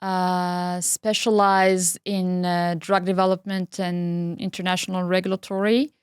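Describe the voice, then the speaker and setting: Articulate middle-aged woman, smooth voice. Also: on the low side, flat delivery, measured pace, no echo, studio quality. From Algeria. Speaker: guest, pharma regulatory head. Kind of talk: interview conversation.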